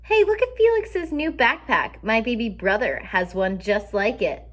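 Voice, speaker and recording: A woman voicing cheeky, mocking schoolchildren in a children's storybook, loud and taunting. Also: a little high, animated, a little slow.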